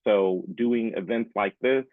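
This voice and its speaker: A man's voice: lowish voice, measured pace, no echo. A man in his forties giving an informal webinar talk in a conversational way, often checking in with his listeners. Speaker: presenter, public affairs specialist. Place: small room at home, indoors. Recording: muffled phone-like webcam audio.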